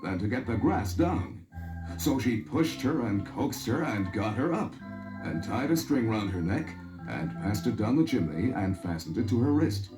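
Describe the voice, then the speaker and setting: A man's voice: lowish voice, sing-song, normal speed. A man narrating a children's fairy tale expressively on an echoey old story record, with music behind him.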